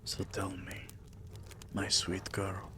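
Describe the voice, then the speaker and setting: A man playing a possessive, tender yet menacing vampire king in an intimate ASMR roleplay, murmuring softly and dipping into whispers. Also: deep voice, monotone, slight background noise, full character voice. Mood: soothing, possessive. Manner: soft, slow, breathy murmurs dipping into whispers.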